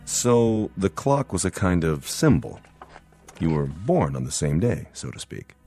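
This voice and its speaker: An actor playing a reasonable, measured male doctor in a radio drama, polite, tactful and probing. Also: low-pitched, very animated, warm tone, soft background music, full character voice.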